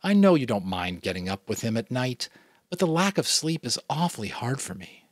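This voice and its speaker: A man's voice: mid-range voice, sing-song, moderate pace, no echo. A man voicing a tired mother who sounds weary and worried.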